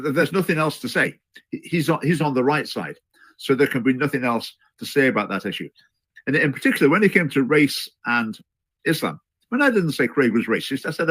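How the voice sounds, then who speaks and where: Man, measured commentary, hesitant, frequent fillers. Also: medium pitch, fairly bright tone, breathy edge. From Scotland. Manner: measured, hesitant, many "uh" and restarts. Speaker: commentator in a played clip. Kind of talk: reflective video commentary.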